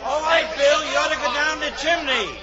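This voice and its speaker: An actor playing an excitable, bossy storybook character in a 1940s radio play. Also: male voice, very high-pitched, moderate pace. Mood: excited, bossy. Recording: noisy muffled old radio recording, crowd.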